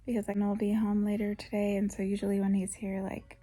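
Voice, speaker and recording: A young adult woman vlogging in a soft, whispery voice, rambling casually with lots of ums, recorded through headphones used as a mic. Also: mid-range voice, monotone, fast. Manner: rambling, with many ums and restarts. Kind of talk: casual chatty vlog talk. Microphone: headphones used as a microphone.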